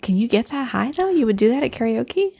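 A woman's voice: fairly high pitch, big pitch swings, brisk, creaky, mellow, no room sound. A woman co-hosting a podcast, chatting as she walks through a show's plot step by step. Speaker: podcast co-host. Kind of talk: casual recap chat.

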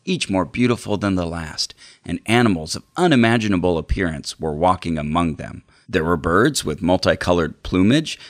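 A man with a deep voice and a gravelly edge co-hosts a podcast. He talks to listeners and reads passages from books aloud. The sound is dry, with very little room in it. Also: no echo. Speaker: podcast co-host. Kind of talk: hosting chat and dramatic reading aloud of book passages.

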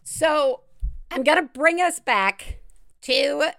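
Woman, podcast host, from the Deep South, fairly high voice, casual and chatty. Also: expressive, slow, fairly bright tone, no echo. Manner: chatty, laughs often, many fillers. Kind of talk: casual interview chat.

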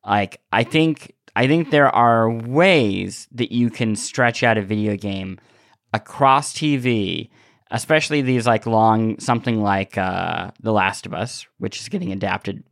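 A man's voice: fairly low pitch, measured pace, vocal fry, dry recording, studio quality. A man co-hosting a podcast, talking casually and analytically, often repeating words as he forms his argument. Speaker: podcast host. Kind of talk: casual opinionated discussion.

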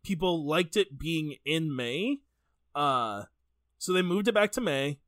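Male podcast host, informal and joking storyteller, very lively intonation, close dry sound. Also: fairly high pitch, slow, no room sound. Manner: informal, jokey, sweary. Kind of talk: casual chatty podcast storytelling.

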